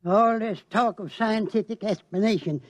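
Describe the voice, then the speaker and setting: An actor playing a fervent, simple doomsday cult believer in a radio drama, preachy and exalted, with stammers. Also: male voice, sits high, normal speed, no echo. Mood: fervent, rapturous.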